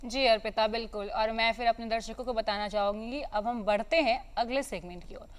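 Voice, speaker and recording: A woman's voice: fairly high pitch, steady tone, brisk, clean tone. A woman hosting a television show in a formal, polite presenting style.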